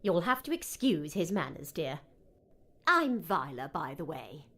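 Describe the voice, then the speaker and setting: A woman's voice: sits low, very animated, measured pace, a bit bright, exaggerated voice. A woman playing a motherly old tailor in an audiobook, fussing and chiding but caring. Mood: warm, welcoming. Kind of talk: dramatised audiobook character dialogue.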